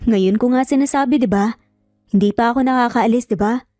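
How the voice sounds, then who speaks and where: A woman's voice: a little high, fairly quick, mellow, no room sound, studio quality, faint music behind, lightly acted. A woman voicing a cold, irritable husband with a curt, dismissive manner in an audio story. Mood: curt, annoyed.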